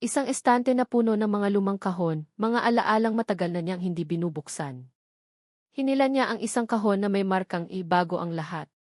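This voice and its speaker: A woman's voice: mid-range voice, brisk, darkish tone, no echo. Woman narrating a drama, dry close sound. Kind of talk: dramatic scripted story narration.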